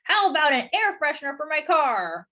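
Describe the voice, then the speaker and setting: Reasonable, mildly fussy storybook man, read by a woman, reassuring and earnest, pitched high with animated inflection. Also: normal speed, highs cut off, exaggerated voice. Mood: hopeful. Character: kindly, reasonable, slightly fussy grown man.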